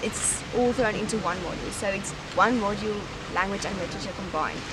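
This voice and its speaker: A young South African woman with an Afrikaans-speaking background and a South African accent, talking in a relaxed, thoughtful way with frequent pauses and restarts while she is interviewed outdoors on a campus. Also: medium pitch, very noisy. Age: young adult. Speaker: university student. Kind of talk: casual interview. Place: outdoors in a sunny campus garden.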